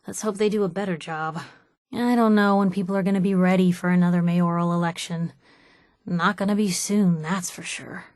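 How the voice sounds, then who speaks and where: A woman's voice: lowish voice, steady tone, normal speed, darkish tone, no room sound, studio quality, natural voice. An actress playing a sardonic, plucky young woman reporter in a video game, with wry, conversational quips. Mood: grim. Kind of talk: video game companion voice acting.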